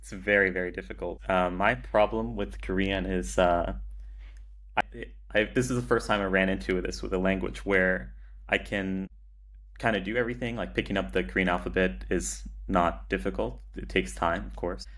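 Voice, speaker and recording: Low-pitched, even-toned man in conversation. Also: moderate pace. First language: English. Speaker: podcast guest, agency founder. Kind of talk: casual interview conversation.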